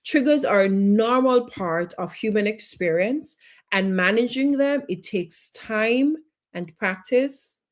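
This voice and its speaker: A woman coach, leading a live online class and encouraging her viewers warmly. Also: mid-range voice, lively intonation, takes it slowly. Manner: encouraging, repeats short questions, reads out viewer comments. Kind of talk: live-streamed class, talking to viewers in chat.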